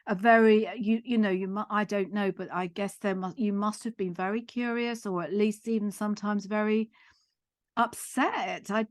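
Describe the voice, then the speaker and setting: A middle-aged woman hosting a podcast interview in a measured, reflective way, feeling out her phrasing as she goes. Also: medium pitch, fairly even intonation, dry recording. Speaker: podcast host and psychotherapist. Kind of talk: gentle interview.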